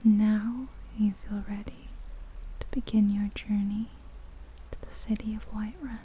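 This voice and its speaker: A woman gives a soothing ASMR guided visualization in a breathy, whispered soft voice. She speaks very slowly and gently, pausing often, with a deeply mellow, relaxed tone. The microphone is close, with faint ambient noise behind her. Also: poor audio. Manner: slow, gentle, soothing, with long pauses. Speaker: ASMR host and narrator. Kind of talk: ASMR guided visualization, scripted second-person narration. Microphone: close ear-to-ear ASMR microphone.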